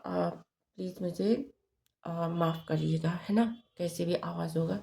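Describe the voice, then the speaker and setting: A woman reading tarot cards to camera, hoarse from a sore throat, speaking haltingly with many fillers. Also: fairly low pitch, steady tone, mellow. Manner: slow, halting, many fillers and tag questions. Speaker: tarot reader.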